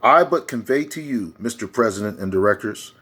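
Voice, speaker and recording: A man's voice: lowish voice, expressive, a little fast, fairly bright tone, slightly rough. A man reading a historical speech aloud in a declamatory, oratorical way, sometimes stumbling over words. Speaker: reader.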